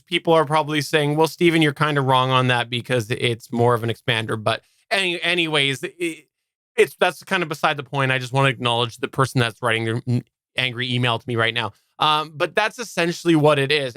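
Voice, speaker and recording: A man's voice: fairly high pitch, pushed and bright, smooth, dry recording. A man hosting a podcast in a casual, technical explainer style, often qualifying his points with asides, on a close studio microphone. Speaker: podcast host. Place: quiet, dry home studio. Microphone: close studio microphone through preamp.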